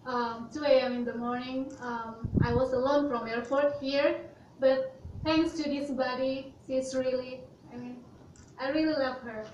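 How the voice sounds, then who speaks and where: A young woman from Indonesia speaks with a high voice and halting delivery, full of fillers and restarts. Her voice sits some way from the mic in an echoey hall, and the sound is muffled. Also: steady tone, noisy background. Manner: hesitant, many fillers and restarts. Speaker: student speaker. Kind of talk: presentation talk. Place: university hall. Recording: roomy, lo-fi sound from a distant mic.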